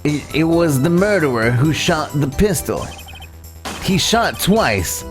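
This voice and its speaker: A man voicing a young defense lawyer in a courtroom video game, earnest and nervous but determined, explaining in halting, formal speech. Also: fairly high pitch, big pitch swings. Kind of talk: live voiced playthrough of a courtroom video game. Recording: game music under the voice.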